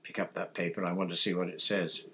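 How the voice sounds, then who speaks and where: A man voicing a weary middle-aged father with a heavy, measured, soothing manner in an audiobook. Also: fairly low pitch, slight background noise, lightly acted.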